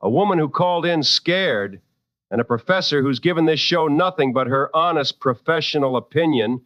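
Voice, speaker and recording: An actor playing a seasoned, protective late-night radio host in a drama, with a firm, wry and deliberate delivery. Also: male voice, fairly high pitch, expressive, a bit bright, no room sound, muffled recording. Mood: indignant, protective.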